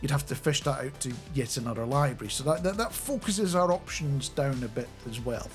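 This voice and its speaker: A middle-aged man giving a casual, chatty and animated explainer into a close studio microphone. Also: mid-range voice, brisk, soft background music. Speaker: radio producer and software developer presenting a video. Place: small home studio indoors. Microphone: close studio microphone on a boom arm.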